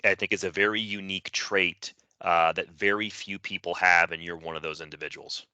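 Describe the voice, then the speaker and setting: A man's voice: low voice, fairly even intonation, brisk, sharp tone, smooth, no echo. A man co-hosting a finance podcast, asking long, framed questions and affirming his guest. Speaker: podcast co-host. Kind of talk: conversational podcast interview.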